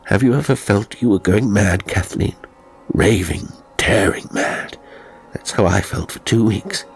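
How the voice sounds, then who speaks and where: A man voicing a tormented English gentleman in an audiobook, evasive and desperate, with a strained, halting intensity. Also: on the low side, creaky, soft background music. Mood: haunted, desperate. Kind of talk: audiobook character acting.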